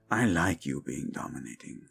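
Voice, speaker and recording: A man's voice: a bass voice, gravelly, a bit bright, full character voice. A man playing a suave, teasing prince and doting husband in a romantic audio drama, with a regal, playful manner. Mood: flirtatious, tender. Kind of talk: intimate romantic audio roleplay addressed to listener.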